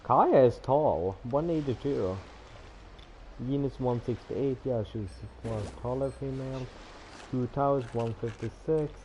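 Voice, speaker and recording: A man streaming a game, chatting casually and thinking out loud in a rambling way. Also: mid-range voice, highs cut off, a little hiss or hum. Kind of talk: casual livestream chat while gaming.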